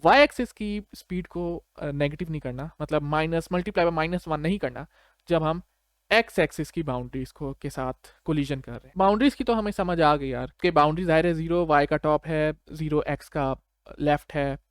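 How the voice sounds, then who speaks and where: A Pakistani man speaks as a tutor in a slightly high voice. He explains things step by step in an informal, conversational way, often adding short check-in questions. The sound is close and dry, with very little room. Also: moderate pace, smooth, no room sound. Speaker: programming tutor.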